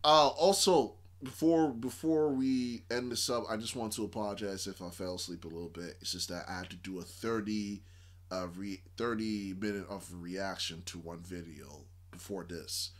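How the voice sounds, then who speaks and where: A man's voice: lowish voice, normal speed. A man in his thirties chatting casually to camera, giving opinions in a hesitant, rambling, repetitive way. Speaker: reaction video host. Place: small room indoors.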